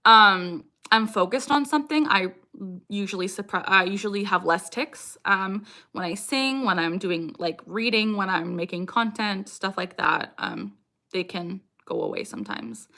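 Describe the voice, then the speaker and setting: A woman's voice: mid-range voice, lively intonation, unhurried, pushed and bright. A young adult woman hosting a casual livestream Q&A, speaking frankly and informally, with sudden repeated vocal tics breaking into her talk. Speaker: livestream host, content creator. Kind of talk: casual livestream Q&A chat, answering viewer comments.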